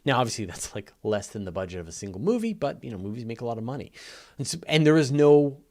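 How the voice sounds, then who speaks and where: A man's voice: mid-range voice, sing-song, quick pace, a little mellow. A man hosting a live question-and-answer show, explaining conversationally and emphatically in an off-the-cuff way, with repetition and everyday comparisons. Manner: conversational, emphatic, builds points through repetition and everyday analogies. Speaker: host of a live astronomy Q&A.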